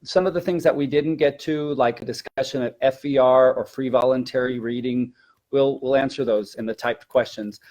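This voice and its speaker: A man's voice: mid-range voice, steady tone, moderate pace, a little mellow. A man speaking as a language teacher in an informal instructional webinar, explaining and repeating words for emphasis.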